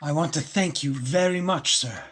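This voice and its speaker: An actor playing a plucky, earnest young man on the run in an audio play, with a slightly husky voice. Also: a little high, normal speed, fairly bright tone.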